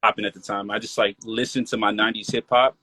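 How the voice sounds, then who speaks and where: A man's voice: lowish voice, little pitch movement, fast talker, sharp tone, dry recording. A man in his late twenties, a rapper from Maryland, talking loosely in a casual interview, full of "like" and "you feel me". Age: 20s. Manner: laid-back, loose, peppered with "like" and "you feel me".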